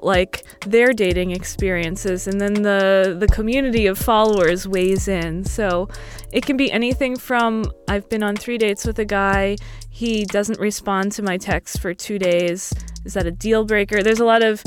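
A woman in her early thirties chatting casually as an interview guest, explaining things in a thoughtful, halting way. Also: medium pitch. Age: early 30s. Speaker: podcast guest.

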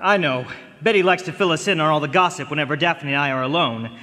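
An actor playing a nervous, flustered fiancé in a radio drama, stammering and hesitant. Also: male voice, fairly high pitch, quick pace, bright, forceful tone. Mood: anxious, exasperated. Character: nervous, flustered male fiancé, a client in trouble. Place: large performance hall stage. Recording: echoey concert hall, live stage broadcast.

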